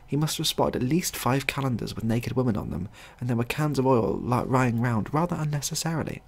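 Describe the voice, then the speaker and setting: A man narrating a scripted comic short story as a storyteller, in a husky, gravelly voice. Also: mid-range voice, expressive, brisk.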